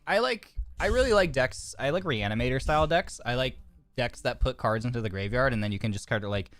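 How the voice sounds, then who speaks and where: A man's voice: mid-range voice, a bit bright, dry recording. A man streaming a card game, chatting casually and reading card text aloud, a little congested with a sore throat. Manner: chatty, reads card text aloud, frequent restarts and fillers.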